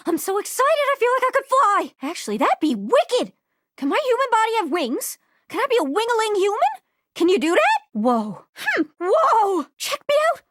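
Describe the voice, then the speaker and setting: A woman voicing an excitable, scatterbrained globe character in a video game, with a folksy Newfoundland accent and a bubbly, exclamatory manner. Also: extremely high pitch, no room sound, studio quality, full character voice. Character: excitable, scatterbrained living globe longing to travel.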